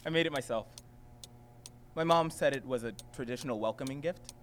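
A man's voice: medium pitch, moderate pace, a bit bright, a little hiss or hum, natural voice. A man playing an awkward, friendly young worker at a boarding house who rambles and giggles nervously. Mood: sheepish.

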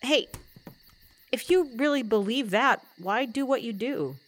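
An actress playing a wry, kindly older small-town mother in an audio drama, talking in a folksy, gently teasing way. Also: a little high, lively intonation, a little slow. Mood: wry, consoling. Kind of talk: naturalistic audio drama acting.

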